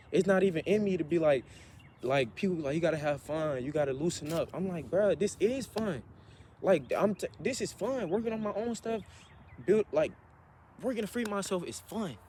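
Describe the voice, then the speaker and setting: A man's voice: high voice, moderate pace, darkish tone, slight background noise. A man in his twenties giving an earnest, motivational monologue for a vlog, repeating himself as he talks through his thoughts. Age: 20s. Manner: earnest, repetitive, self-motivating. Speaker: vlogger.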